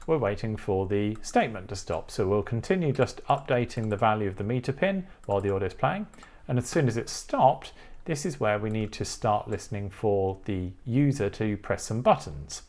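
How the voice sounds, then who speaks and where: A man's voice: medium pitch, darkish tone. A man giving a methodical, step-by-step technical tutorial as the presenter. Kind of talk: explanatory coding tutorial walkthrough.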